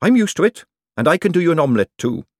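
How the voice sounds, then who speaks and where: A man voicing a weary, firm young kitchen girl in an audiobook, brisk and matter-of-fact. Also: fairly high pitch, very animated, no echo, clean studio sound, pitched above the performer's own voice. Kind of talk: audiobook character dialogue.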